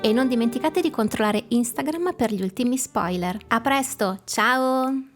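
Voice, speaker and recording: A woman's voice: fairly high pitch, normal speed. Chatty Italian woman over music. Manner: chatty, informal. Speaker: gameplay channel host. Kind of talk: casual video outro to viewers. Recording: voice over prominent background music.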